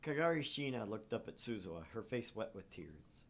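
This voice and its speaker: Man, low voice, casual let's play co-host, story narration, background noise. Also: very animated, brisk.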